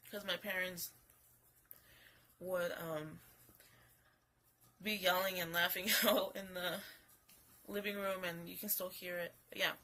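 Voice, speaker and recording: A young woman talks into her phone at home, telling a story in a loose, rambling way full of fillers and casual swearing. Her voice is bright and a little raspy because she is coming down with a cold. A faint hiss sits under the recording. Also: mid-range voice. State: getting sick, slightly raspy. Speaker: vlogger. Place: home, alone. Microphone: phone camera.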